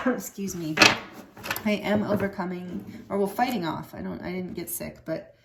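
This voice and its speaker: A woman with a slightly rough throat giving a casual tarot card reading, rambling and correcting herself with many fillers. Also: on the low side, normal speed, heavy background noise. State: throat a little rough from fighting off a cold. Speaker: tarot reader.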